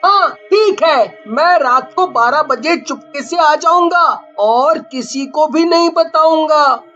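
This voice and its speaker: A woman's voice: high voice, expressive, slow pace, fairly bright tone, muffled recording, faint music behind, heavily acted. A woman voicing a curious, eager young boy in a Hindi cartoon story, chatty and innocent.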